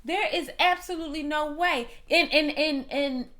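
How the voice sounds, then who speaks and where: A woman co-hosting a reality show review in casual chat, animated and playful, quick to tease. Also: high voice.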